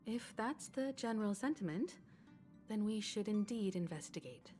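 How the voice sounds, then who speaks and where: A woman's voice: medium pitch, animated, moderate pace, dark tone, soft background music, lightly acted. A woman voicing a composed, courteous adult crew leader in a video game, poised and formal.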